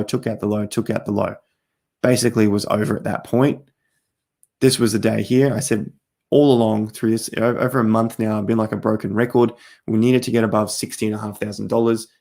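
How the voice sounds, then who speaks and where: A man's voice: lowish voice, gravelly, a little mellow. A middle-aged man hosting a crypto livestream, walking through charts in a repetitive, emphatic way while he is losing his voice and coughing. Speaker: crypto trading livestream host. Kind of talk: live-stream chart analysis.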